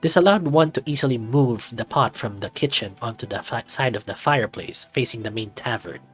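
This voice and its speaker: Male host, visual novel narration, casual commentary, middle pitch, faint background music. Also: fairly quick.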